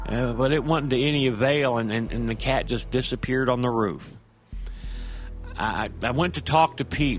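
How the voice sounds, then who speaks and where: A man acts out an earnest everyman narrating a creepy audio drama tale. He stammers and restarts in a folksy, conversational way, hesitant throughout. Music sits under his mid-pitched voice. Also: lightly acted. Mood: uneasy, baffled. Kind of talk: first-person audio drama monologue.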